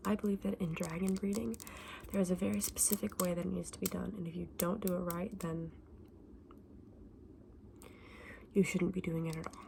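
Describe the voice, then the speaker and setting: A woman playing a friendly, candid dragon egg seller in a whispered, soft-spoken ASMR roleplay, explaining things with small hesitations. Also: on the low side, little pitch movement, fast, vocal fry, slight background noise. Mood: quietly defensive.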